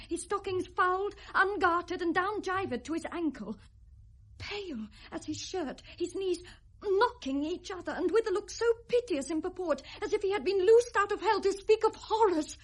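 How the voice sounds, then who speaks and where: An actress playing a frightened, obedient young noblewoman with a British accent and tremulous delivery in a classical radio drama. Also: very high-pitched. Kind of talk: 1970s classical Shakespearean radio drama acting.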